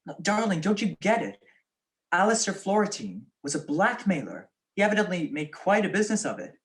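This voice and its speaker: A woman's voice: lowish voice, very animated, brisk, rough recording. A young woman acting a suave, flippant male detective husband in a 1940s-style radio drama, with theatrical delivery. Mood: brisk, shrewd. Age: young adult.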